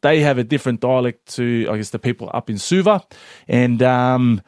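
Male podcast co-host, teasing, easy laugh, dry close mic, mid-pitched. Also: moderate pace, a little mellow, dry recording. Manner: laughs often, teasing and upbeat. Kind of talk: casual chat.

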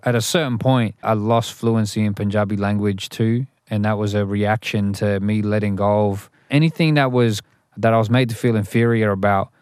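A man's voice: fairly low pitch, steady tone, moderate pace, a little mellow, clean tone, no room sound, clean studio sound. A young adult man, a rapper talking through his upbringing as an interview guest, thoughtful and full of restarts. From Australia. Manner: thoughtful, frequent restarts and "you know". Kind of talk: interview, reflective storytelling.

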